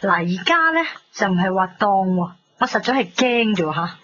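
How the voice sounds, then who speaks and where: An actress playing a pragmatic, cynical, chatty shop girl in her twenties in a Cantonese radio drama, with a Hong Kong accent and a blunt, animated delivery. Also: medium pitch, normal speed, close to an everyday voice. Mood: teasing, lecturing. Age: 20s. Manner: animated, blunt, teasing, sprinkles English words. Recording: dull lo-fi radio recording, music behind.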